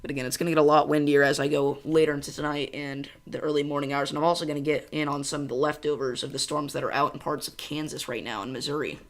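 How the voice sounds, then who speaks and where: A young man from central Illinois hosting a casual weather livestream, chatting excitedly and wandering off on tangents while reading chat. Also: a little high. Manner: chatty, goes on tangents, talks fast and excitedly.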